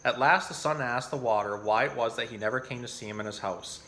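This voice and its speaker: A man with a medium-pitched, bright and forward voice hosts an educational animal storytime. He speaks as a zookeeper in an informal, explanatory way, suited to both casual teaching and reading from a storybook. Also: quick pace.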